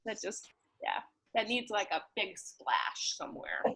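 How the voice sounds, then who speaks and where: A woman chatting casually and warmly, telling anecdotes in an informal, halting way with repeated words. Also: mid-range voice, huge pitch swings, gravelly, husky. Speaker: conversation partner, guest. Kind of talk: casual, warm chat and anecdote.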